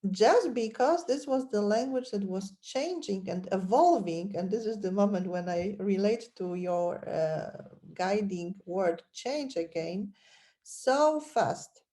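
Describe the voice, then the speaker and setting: A woman hosting an interview, speaking deliberately with many hesitations. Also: medium pitch, lively intonation, warm tone. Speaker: podcast host. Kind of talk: interview conversation.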